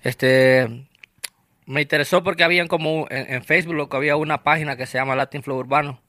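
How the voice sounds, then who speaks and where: A young man from El Salvador with a Salvadoran Spanish accent, a guest on a casual podcast who talks in a relaxed way with many restarts. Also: fairly high pitch, a little fast. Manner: relaxed, says "loco" often, hesitant restarts. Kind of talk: casual chat.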